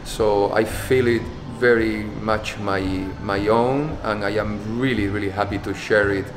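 A man's voice: on the low side, steady tone, a little slow, noisy background. A man, speaking thoughtfully in an interview, often repeating words. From Spain. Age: 50s. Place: dance studio, indoors. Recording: echoey hall with music behind.

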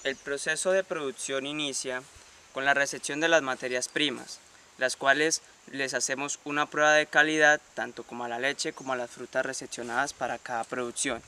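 A man's voice: medium pitch, flat delivery, moderate pace, pushed and bright, a little hiss or hum. A Colombian man in his late teens giving a prepared explanation to camera outdoors. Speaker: production and marketing leader of a small food business. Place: outdoors in countryside.

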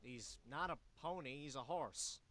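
A man's voice: medium pitch, a little slow, pushed and bright. A man voicing a small, whiny slacker animal in his twenties, slangy and complaining, for a cartoon adventure game. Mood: casual. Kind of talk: voiced game dialogue.

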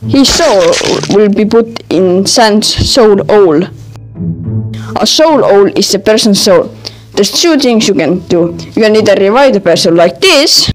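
A woman voicing excitable cartoon object-show characters, host and contestants, in an amateur animated show. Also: mid-range voice, lightly acted, poor audio.

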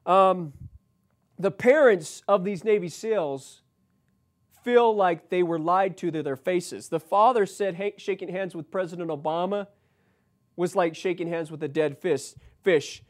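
An American man in his forties giving political commentary, reading news aloud with emphatic, halting asides and repeating himself. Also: high-pitched. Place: indoor studio set, seated at a desk.

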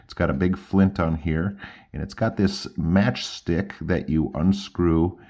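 Very deep-voiced man reviewing gear. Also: fairly even intonation, takes it slowly, darkish tone. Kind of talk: casual product demonstration.

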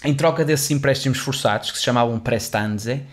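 A man's voice: medium pitch, brisk. A young man with a European Portuguese accent explains finance history in a casual, chatty way, full of fillers and restarts, into a studio microphone in a small room. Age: young adult. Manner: chatty, many restarts and fillers, gestures while talking. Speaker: host of an educational video series. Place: small studio room with dark wall, indoors. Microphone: studio condenser mic on boom arm, headphones on.

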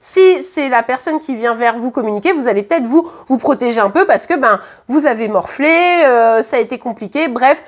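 A hoarse woman with a French accent, doing a casual tarot reading to camera in a chatty, hesitant way with many fillers and restarts. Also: a little high, animated, normal speed, few pauses. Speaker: tarot card reader. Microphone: camera at the reading table.

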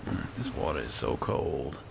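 A man with a gravelly voice giving low-key, casual roleplay commentary on a video game, as if talking to himself. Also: expressive, normal speed. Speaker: gamer playing in character. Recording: clear background game ambience noise.

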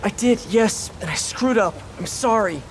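An actor playing a young masked superhero in a video game, earnest, self-critical and given to wisecracks muttered to himself. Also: male voice, high voice, moderate pace, slight background noise, lightly acted. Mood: rueful, frustrated. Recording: loud game music and effects behind.

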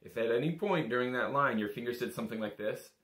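A man's voice: medium pitch, fast. A young man giving a casual, patient, step-by-step music lesson in an informal, encouraging way. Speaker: bagpipe teacher. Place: small indoor room. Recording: echoey small room.

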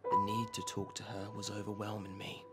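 A man's voice: fairly low pitch, monotone, brisk, loud music behind the voice, natural voice. A man playing an obsessive, lovelorn artist in an audio drama, speaking hesitantly and with awkward politeness. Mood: brooding, tense. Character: nervous, obsessive lovelorn male artist narrating his longing. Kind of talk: dramatic monologue and phone dialogue.

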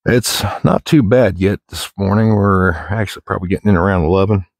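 Middle-aged American man, low voice, folksy and rambling outdoor vlogger, dry open-air sound. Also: a little fast, gravelly, dry recording. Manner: folksy, plain-spoken, rambling. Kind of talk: casual walk-and-talk chat. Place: outdoors in the woods, walking.

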